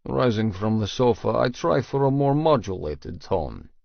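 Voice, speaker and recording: A man reading first-person audiobook narration in a measured, serious way, with a slightly dull, dry sound. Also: lowish voice, very animated, creaky, no room sound.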